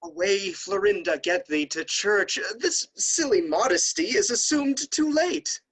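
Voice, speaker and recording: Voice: mid-range voice, lively intonation, unhurried, fairly bright tone, husky, heavily acted. A performer playing a proud, domineering young nobleman and stern brother in a period comedy, speaking formally. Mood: imperious. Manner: formal, imperious. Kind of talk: theatrical Restoration play acting.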